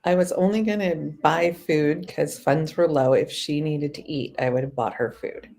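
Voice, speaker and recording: A woman with a somewhat low voice chatting on a casual live stream. She is blunt and chatty and swears offhandedly. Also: moderate pace, vocal fry, a little mellow. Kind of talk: casual chat.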